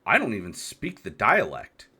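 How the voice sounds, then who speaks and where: A man's voice: on the low side, wildly swooping pitch, normal speed, bright, forceful tone, breathy edge. An American man in his thirties hosting a solo talk, reflective and hesitant, asking rhetorical questions. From United States. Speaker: podcast host. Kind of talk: solo monologue.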